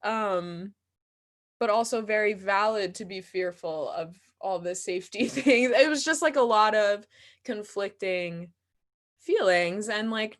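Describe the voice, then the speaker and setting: A young woman chatting casually and candidly as she answers questions, giggly and self-deprecating. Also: medium pitch. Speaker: actor and playwright answering questions. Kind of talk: casual conversational Q&A.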